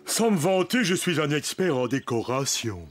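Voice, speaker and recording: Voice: mid-range voice, big pitch swings, normal speed, over loud music, lightly acted. A performer voicing a boastful, jovial toy character who fancies being strong, in a French children's cartoon. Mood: boastful, jolly.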